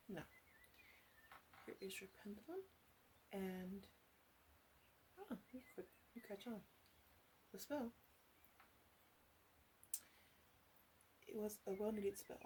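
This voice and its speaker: Slow, soft-spoken flirty witch played by a woman. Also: medium pitch, slight background noise, lightly acted. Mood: gentle, encouraging. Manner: soft-spoken, hesitant, often dropping into whispers. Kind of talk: ASMR roleplay.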